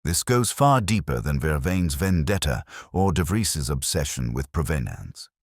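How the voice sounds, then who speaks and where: A man voicing an intense, precise detective in an audio story, speaking in a deliberate, commanding, didactic way. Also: very deep voice, big pitch swings, dry recording, studio quality, heavily acted. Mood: grave.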